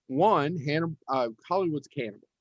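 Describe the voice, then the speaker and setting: A man's voice: a little high, normal speed, dry recording, lo-fi sound. A man co-hosting a casual podcast panel, talking in an emphatic, rambling, informal way. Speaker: podcast co-host.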